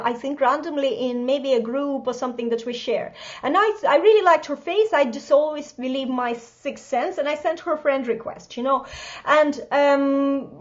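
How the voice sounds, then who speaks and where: Russian-accented woman hosting a live chat, high voice, talks fast and lively, kinda dull lo-fi sound. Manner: fast, lively, with restarts and laughs. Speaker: host. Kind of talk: casual interview chat.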